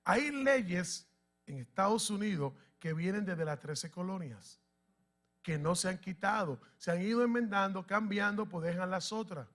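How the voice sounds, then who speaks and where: Male pastor, high voice, emphatic teaching sermon with questions to the room. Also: unhurried, a bit bright. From Dominican Republic and Puerto Rico. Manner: emphatic, asks the congregation questions.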